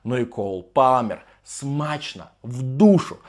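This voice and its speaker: A man in his thirties hosting a football show from a small room, his voice strained and partly hoarse, talking emphatically with mock-enthusiastic asides. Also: mid-range voice, expressive, takes it slowly, darkish tone. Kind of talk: animated football commentary monologue. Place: small room with sofa, indoors.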